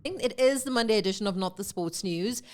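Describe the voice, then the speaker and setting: A woman from South Africa hosting a live sports talk show, chatty and lively, a bit congested from a cold. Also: medium pitch, a little fast. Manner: chatty and lively, with fillers and self-corrections.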